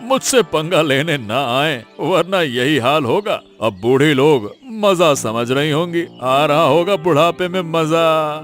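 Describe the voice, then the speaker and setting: A man's voice: fairly high pitch, animated, slow, few pauses, fairly bright tone, soft background music. A man voicing a spiteful, boastful village businessman who gloats, in a Hindi moral-story cartoon. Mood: gloating.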